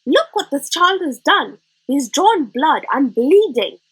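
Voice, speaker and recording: A woman voicing a stern, snappy schoolteacher in a storybook, sharp and scolding, easily outraged. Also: sits high, sing-song, slow pace, pitched above the performer's own voice, exaggerated voice, rough recording.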